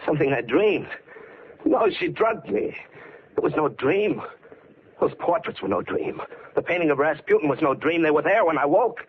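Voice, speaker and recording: A man's voice: on the high side, lively intonation, normal speed, a little hiss or hum. An actor playing a haunted, desperate man telling of his descent into madness, in intense 1940s radio mystery style. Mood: frantic, desperate. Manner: dramatic, brooding, intense. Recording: tinny vintage radio recording with music behind.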